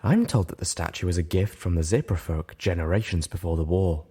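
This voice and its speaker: A calm little unicorn mare from a fantasy audiobook, performed by a man. The voice is husky and mellow, with a casual, confiding delivery. There is a wry, earnest temper to it. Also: deep voice, fairly quick, pitched below the performer's own voice.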